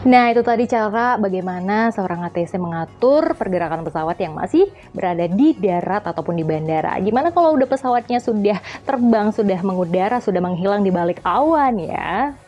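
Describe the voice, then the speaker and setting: A woman with a soft, mellow voice explains things as a channel host. Her delivery is casual and colloquial, with a playful, jokey streak and a habit of acting out small examples. Her pitch sits in a middle range for a woman. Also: animated, brisk, soft background music. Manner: playful, jokey, acts out little dialogues to illustrate points.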